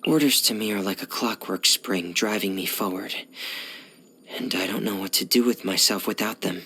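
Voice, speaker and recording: A man playing a shy, gloomy teenage boy diver in video game voice lines, speaking softly and hesitantly in a hushed, breathy voice. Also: fairly low pitch, moderate pace, exaggerated voice. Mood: quiet, wistful. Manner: hesitant, reserved, subdued.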